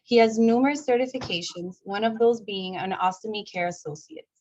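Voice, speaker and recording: A woman nurse hosts an online session and reads a formal, scripted welcome and speaker introduction. Her delivery is smooth and even, at an ordinary pitch for a woman. The sound is slightly muffled and lo-fi, as from a video call. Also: fairly quick. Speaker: nurse and host. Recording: dull lo-fi video call audio.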